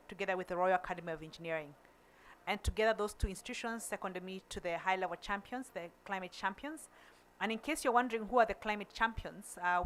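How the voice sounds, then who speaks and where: A woman, a Kamba speaker, presenting at a conference in a voice of middling pitch. She speaks deliberately and takes her time, stumbling and restarting words now and then. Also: a bit bright, a little hiss or hum. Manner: deliberate and slow, with fillers and restarts.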